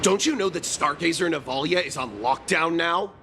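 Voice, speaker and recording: A man's voice: a little high, moderate pace, creaky, background noise. A man voicing a suspicious, officious guard in a video game, speaking in a challenging, smug way. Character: suspicious, officious male guard turning strangers away. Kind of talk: scripted video game dialogue acting.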